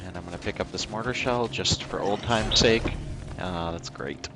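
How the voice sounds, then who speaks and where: A man's voice: fairly low pitch, normal speed. A man giving casual live gameplay commentary as a streamer, offhand and dryly self-mocking. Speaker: game livestreamer. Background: game music.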